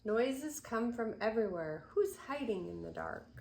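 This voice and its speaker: An older woman reading a picture book aloud in an expressive, unhurried way, with chatty asides to young listeners. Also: fairly high pitch, gravelly. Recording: roomy echo, faint background noise.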